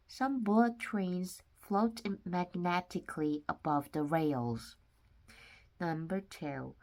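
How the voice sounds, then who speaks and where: A woman reading a children's nonfiction book aloud with simple, deliberate phrasing, sometimes stumbling over words. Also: deep voice, a little mellow. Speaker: read-aloud narrator of children's books.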